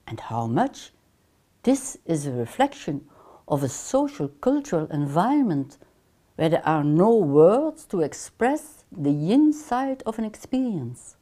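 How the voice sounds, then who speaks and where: Slow, mellow older Dutch woman lecturing. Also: on the low side, very animated. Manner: measured, deliberate, gestures with her hands. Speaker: counseling lecturer. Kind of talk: scripted online course lecture. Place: small lamp-lit room, seated in armchair.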